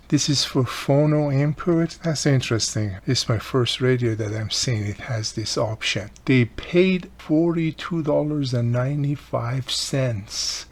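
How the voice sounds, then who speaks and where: A man's voice: mid-range voice, slight background noise. A man casually explaining a hands-on repair as he works, speaking unscripted with non-native-sounding grammar and often dropped articles. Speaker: radio repair hobbyist and host.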